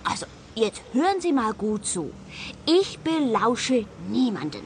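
An actress playing a flustered, huffy passer-by witness in a radio play, indignant and hesitant. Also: female voice, fairly high pitch, big pitch swings, a little slow, slight background noise.

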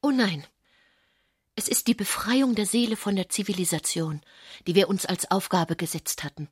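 A woman's voice: mid-range voice, expressive, moderate pace, husky. An actress playing Diotima, a lofty, idealistic society lady, in a radio play, speaking earnestly and half whispering.

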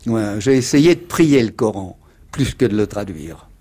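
An older man heard in an archival radio interview speaks slowly and musingly, stopping often to search for his words. His voice is dark and mellow, with an unhurried pace. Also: on the low side, sing-song. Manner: slow, musing, hesitant.

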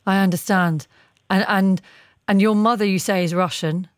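A dry studio sound frames a radio presenter with a mid-pitched voice. They interview a guest live on a breakfast news show, choosing their phrasing carefully and tentatively. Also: steady tone, normal speed, dry recording.

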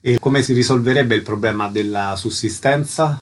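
A man's voice: medium pitch, normal speed. A man hosting a radio interview in speech, putting short questions and reading announcements.

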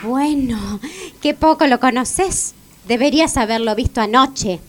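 A woman's voice: fairly high pitch, unhurried, a little hiss or hum, natural voice. An actress with an Argentine accent playing a coy, scatterbrained young girlfriend with a naive manner in a comic radio drama. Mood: cheeky. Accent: Argentine Spanish.

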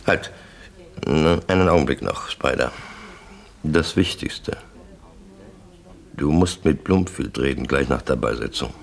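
An actor playing a hard, contemptuous crime syndicate boss, gravelly and authoritative, in a 1960s German radio play. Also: male voice, very deep voice, full character voice. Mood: commanding. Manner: authoritative, clipped, deliberate orders.

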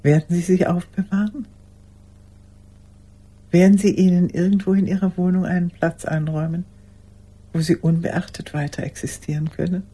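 An older actress playing a lonely, pleading old woman in a radio play monologue, halting and intense, with a husky voice. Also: lowish voice, steady tone, dark tone. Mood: pleading, earnest.

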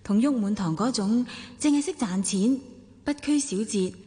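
A woman's voice: a little high, moderate pace, dark tone, slightly rough. An actress playing a sociable, reflective well-off woman in a radio drama, with a Hong Kong Cantonese accent. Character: well-off, sociable, somewhat wistful woman narrating her romance.

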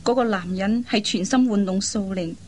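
An actress playing a loyal young adult daughter in a Cantonese radio drama, speaking earnestly and pleadingly in carefully articulated speech. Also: female voice, medium pitch, normal speed, slight background noise, lightly acted. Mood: earnest, consoling.

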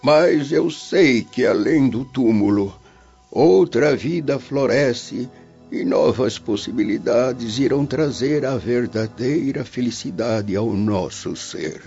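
A man's voice: medium pitch, slow, vocal fry, darkish tone. An actor playing a dying, philosophical old Roman father in a Brazilian radio drama, grave and tender, declaiming solemnly. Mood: solemn, consoling. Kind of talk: dramatic radio play acting. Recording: dull lo-fi radio drama, music behind.

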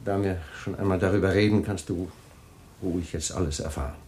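A man's voice: low-pitched, fairly even intonation, a little fast, darkish tone, lightly acted. An actor in a German radio drama playing a wealthy, evasive husband who soothes and dismisses his wife. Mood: pained. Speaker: husband James.

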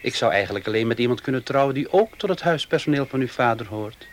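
A male actor plays a young servant in a radio drama, wary and deferential. His mid-pitched voice moves with lively intonation, though he speaks hesitantly and respectfully. Faint noise runs under the recording. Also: fast, close to an everyday voice. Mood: modest, hesitant. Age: 20s. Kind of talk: radio play acting. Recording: faintly noisy old radio play recording.

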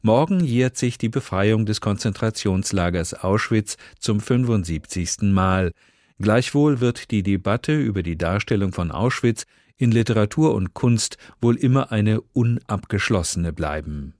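A man's voice: on the low side, expressive, measured pace, a little mellow, dry recording, clean studio sound. A man presenting a scripted cultural introduction on the radio.

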